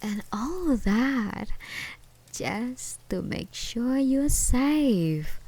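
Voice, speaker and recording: A woman playing an obsessed, unhinged ex-girlfriend in an ASMR roleplay, taunting and possessive in a sweetly menacing, giggly way with drawn-out words. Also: on the high side, animated, mellow, dry recording, exaggerated voice. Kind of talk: ASMR roleplay acting, one side of a conversation.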